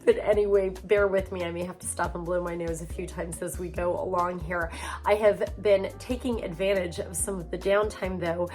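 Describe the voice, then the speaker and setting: A woman hosting a casual style vlog from her home, her voice raspy and hoarse from a cold, chatty and upbeat as she talks to her viewers. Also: lowish voice, fairly even intonation, moderate pace, darkish tone, soft background music. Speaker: style vlogger and show host. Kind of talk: casual friendly wardrobe vlog.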